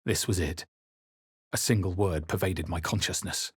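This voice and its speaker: Game protagonist: grave, wry adventurer, low-voiced and very dry, close studio sound, theatrical and deliberate. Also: male voice, slightly rough, no room sound. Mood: grave, brooding. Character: male adventurer hero, grave, wry, brooding fantasy protagonist. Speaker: video game voice actor. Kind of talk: dramatic fantasy game narration and character barks.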